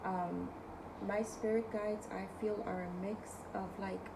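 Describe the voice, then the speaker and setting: A woman with a New York accent hosting a casual spiritual talk as a tarot reader, chatty and often checking in with her viewers, her voice hoarse from overuse. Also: mid-range voice, fairly even intonation, unhurried, background noise. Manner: chatty, keeps asking okay guys. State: losing her voice. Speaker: tarot reader and video host.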